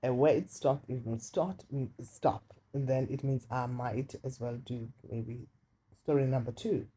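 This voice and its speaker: A woman with a deep voice casually telling a personal story, chatty and confiding, often repeating words for emphasis. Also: steady tone, unhurried, dark tone, slight background noise, low-quality recording. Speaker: storytime vlogger. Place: indoors while rain falls outside. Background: light rain.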